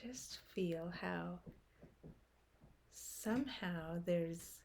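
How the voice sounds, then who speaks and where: A woman leading a guided meditation in a gentle, unhurried manner, speaking in short phrases with long pauses. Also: lowish voice, vocal fry, slight background noise.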